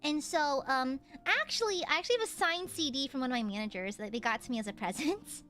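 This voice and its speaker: A young woman talks casually on a stream, her high voice swooping widely. The tone is clear with a slight nasal edge, and she breaks into laughter often. Soft music runs faintly under her. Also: animated, fast. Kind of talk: casual chat.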